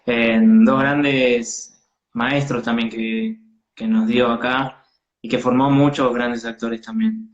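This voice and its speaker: A man's voice: medium pitch, slow pace. A man with an Argentine accent interviewing a guest on a video call, full of short encouraging replies. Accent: Argentine Spanish. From Buenos Aires, Argentina. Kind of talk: casual interview. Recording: echoey, dull video-call audio.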